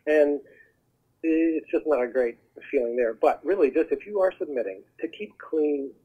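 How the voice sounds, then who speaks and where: A man speaking as a talent agent guest, giving advice in an earnest, halting, conversational way over the telephone. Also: on the high side, breathy edge. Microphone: telephone call. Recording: muffled narrow phone line.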